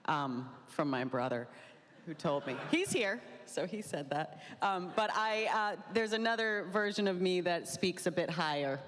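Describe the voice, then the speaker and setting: A woman with a low voice answering interview questions in a wry, self-mocking way. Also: expressive, a little slow, slight background noise. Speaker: actress guest. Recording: echoey convention hall.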